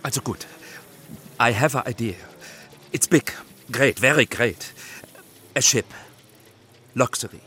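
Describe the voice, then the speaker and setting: Halting, flustered German émigré director. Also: male voice, medium pitch, pushed and bright. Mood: excited, groping. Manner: halting broken English, groping for words. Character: earnest, flustered émigré film director, a man. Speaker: actor in a radio drama. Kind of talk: radio play dialogue.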